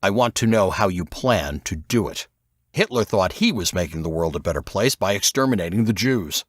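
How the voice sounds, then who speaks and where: Male reader as aging spy mentor for an audiobook: low, stern, deliberate, commanding, always probing. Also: expressive, dry recording, studio quality. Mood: stern, challenging. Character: stern, probing old male intelligence veteran. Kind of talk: audiobook character dialogue.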